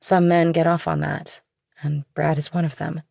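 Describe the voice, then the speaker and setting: A woman's voice: low-pitched, flat delivery, normal speed, gravelly, dark tone, no room sound, studio quality, exaggerated voice. A woman voicing an evasive, nonchalant young woman in an audiobook, casual and a little defensive. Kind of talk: audiobook character dialogue.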